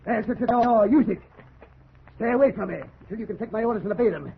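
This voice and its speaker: An actor playing a short-tempered, domineering planter husband in an old-time radio drama, blustering and uneasy, on a thin, noisy vintage recording. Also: male voice, sits high, quick pace, vocal fry, narrow, tinny audio. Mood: angry, commanding. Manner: blustering, barking orders.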